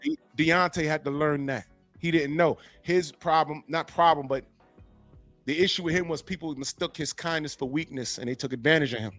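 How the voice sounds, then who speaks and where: A man hosting a podcast in a casual, animated and profane way, often acting out quotes as he tells a story. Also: on the high side, normal speed, faint music behind. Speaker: podcast host.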